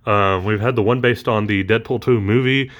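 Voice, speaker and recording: A man's voice: lowish voice, steady tone, moderate pace, fairly bright tone, lo-fi sound. A man talking casually through a toy unboxing, rambling with lots of ums and uhs, his voice recently back after allergies.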